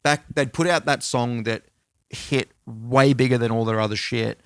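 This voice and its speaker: A man's voice: medium pitch, fairly even intonation, moderate pace, a bit bright, no room sound. A middle-aged Australian man co-hosting a podcast, telling rambling, slangy anecdotes in casual chat with some swearing. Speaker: podcast co-host.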